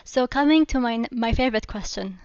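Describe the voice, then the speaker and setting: A woman's voice: fairly high pitch, fairly quick, clean tone, dry recording, lo-fi sound. A woman interviewing a guest, asking questions and often affirming with short replies. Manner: frequent brief affirmations.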